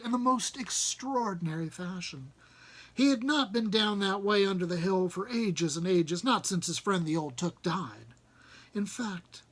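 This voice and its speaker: Expressive male storybook narrator. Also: high voice. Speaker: audiobook reader.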